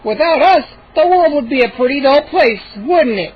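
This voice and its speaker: An audiobook reader voicing a small male mischief gnome who talks softly and stretches his words out, on a muffled recording. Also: sits high, animated, a little hiss or hum, voice pushed up. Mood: impish. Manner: soft, drawn-out, explanatory. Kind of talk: audiobook character voice.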